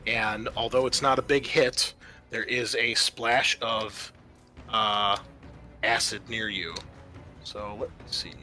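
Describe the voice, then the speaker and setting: Bright-voiced man running a tabletop game. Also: on the low side, steady tone, takes it slowly. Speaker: game master. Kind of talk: running a tabletop roleplaying game, casual rulings and narration. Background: music.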